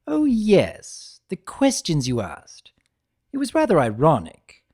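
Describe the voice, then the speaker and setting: Man as a high-pitched, mischievous chaos spirit. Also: slow pace, husky, exaggerated voice. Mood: playful, coy. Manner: energetic, coy, theatrical. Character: mischievous male chaos spirit, playful, teasing and theatrical.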